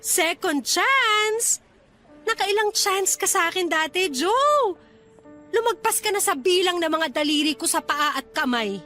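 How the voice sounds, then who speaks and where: A woman's voice: very high-pitched, lively intonation, a little slow, sharp tone, faint music behind, heavily acted. An actress playing a hurt, defiant young woman rebuffing her ex-lover in a radio drama, sarcastic and reproachful. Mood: bitter. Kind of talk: Tagalog radio drama acting.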